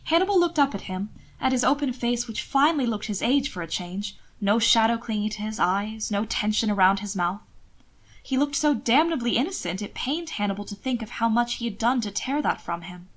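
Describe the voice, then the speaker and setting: High-voiced woman narrating a story. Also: animated, fairly quick. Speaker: audiobook narrator of fan fiction. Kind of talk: expressive scripted story narration.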